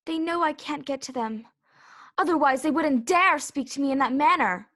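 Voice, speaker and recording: Woman playing a haughty, high-pitched talking flower queen. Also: expressive, normal speed, fairly bright tone. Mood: scolding, commanding. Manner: imperious, scolding. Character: bossy, haughty female talking Tiger Lily flower. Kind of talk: children's readers theater read-aloud.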